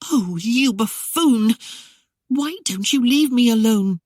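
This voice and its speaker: A man's voice: very high-pitched, animated, slow pace, no room sound, clean studio sound, voice pushed up, heavily acted. A man voicing an indignant young married lady in an audiobook, huffy and scolding. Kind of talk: audiobook character voice.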